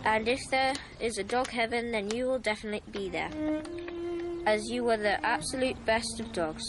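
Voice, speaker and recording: A woman's voice: on the high side, moderate pace, loud music behind the voice, lightly acted. A woman playing an earnest, blunt young daughter grieving the family dog in a naturalistic radio drama. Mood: tender, grieving.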